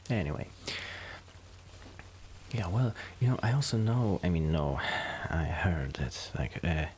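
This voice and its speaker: A man chatting casually and intimately in an ASMR roleplay, soft and hesitant, self-deprecating, often dropping close to a whisper. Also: deep voice, lively intonation, a little slow, a little hiss or hum. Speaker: ASMR performer and amateur musician friend.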